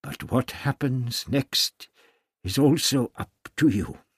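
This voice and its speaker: A man's voice: mid-range voice, sing-song, slow, slightly rough, no echo, clean studio sound, pitched above the performer's own voice, full character voice. A man voicing an irascible, authoritative older doctor in an audiobook story, with emphatic, old-fashioned phrasing. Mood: gentle, contrite. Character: irascible, authoritative older male doctor, gruff but kindly.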